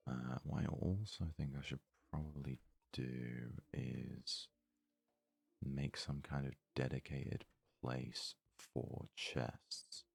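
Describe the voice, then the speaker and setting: Very deep, gravelly ASMR man. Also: a little mellow. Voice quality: breathy, often slipping into whisper. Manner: murmured, unhurried thinking aloud. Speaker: let's play host. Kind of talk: soft-spoken ASMR game commentary.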